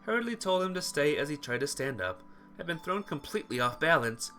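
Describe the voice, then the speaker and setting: A let's player reading a visual novel aloud as expressive narration, sometimes stumbling and rereading phrases. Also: mid-range voice, brisk, faint music behind. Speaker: video game let's player.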